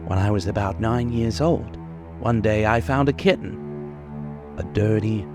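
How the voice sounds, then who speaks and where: A man's voice: fairly low pitch, unhurried, music in the background, close to an everyday voice. An actor in an old-time radio crime drama playing a cocky, bitter gunman with menacing, taunting, slangy tough talk. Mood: bitter, brooding. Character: cocky bitter gunman confessing his life story.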